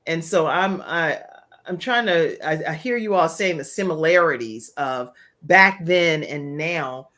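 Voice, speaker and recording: A woman's voice: fairly low pitch, fairly bright tone. A woman talk show host interviewing a panel of guests, speaking emphatically as she responds to them.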